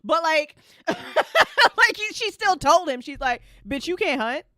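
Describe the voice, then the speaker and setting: A woman hosting a casual fan chat about a story, talking in an animated, slangy, freely swearing way and often breaking into laughter. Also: very high-pitched, takes it slowly, dry recording, studio quality. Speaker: host of an audio fiction after-show.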